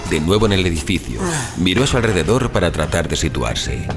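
A man narrating a scripted suspense horror story as an audio fiction narrator. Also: deep voice. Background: music.

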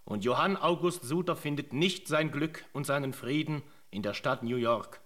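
A man narrating a German radio drama as a solemn chronicler, in measured, scripted literary sentences. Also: fairly high pitch, fairly bright tone, lightly acted. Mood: grave. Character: solemn male chronicler of an emigrant's tale.

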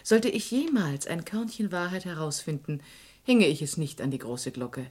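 A woman's voice: low-pitched, normal speed, darkish tone, slightly rough. An actress playing a clever, teasing young woman journalist with a wry, probing, poised manner in a German radio crime drama. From Florence, Italy (as the figure). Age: young adult.

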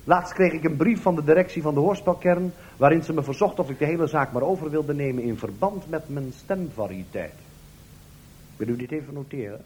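A man with a rather high voice performs a comic monologue. He speaks to his listeners as a radio parody comedian. There is a faint layer of noise on the recording. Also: brisk, darkish tone.

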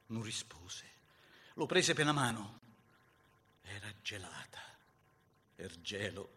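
An actor playing a grieving Garibaldian veteran, reciting dramatic verse with a Roman accent in an impassioned way that swings between hushed and loud. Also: male voice, medium pitch, in a large hall. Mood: hushed, sorrowful. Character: grieving Garibaldian veteran recounting a bloody battle. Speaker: actor reciting a dialect poem.

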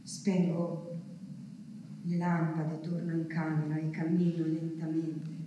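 An actress performing a theatrical monologue as an anguished wartime woman waiting for her missing husband, with slow, halting, intense delivery. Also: low-pitched, monotone, mellow, dull audio. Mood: numb, grieving. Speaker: stage actress. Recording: echoey hall, distant mic, faint noise.

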